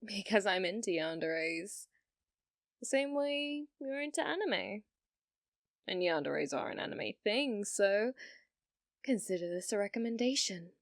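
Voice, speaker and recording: A woman performs a possessive, flirty yandere on a paid date, in intimate ASMR style. Her delivery is slow and deliberate, teasing and coy, at a medium pitch for a woman. The sound is very dry, with no room around the voice. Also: animated, no room sound. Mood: playful, coaxing. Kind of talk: ASMR roleplay.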